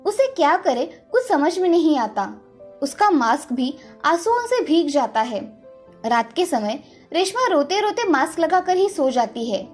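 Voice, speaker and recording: A woman delivers scripted storybook narration. Her voice is notably high-pitched. Light music plays in the background. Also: very animated, unhurried, a little mellow.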